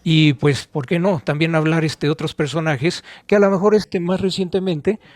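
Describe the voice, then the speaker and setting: Higher-pitched middle-aged Mexican man reminiscing. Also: steady tone, normal speed, darkish tone, no echo. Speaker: podcast co-host. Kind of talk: reminiscing conversational storytelling.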